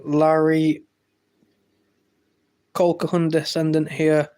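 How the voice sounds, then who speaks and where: A man's voice: on the high side, monotone, takes it slowly, a little mellow, clear, resonant tone. An English man hosting a live stream, reading chat aloud and trading brash, teasing banter with viewers. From England. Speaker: livestream host reading chat.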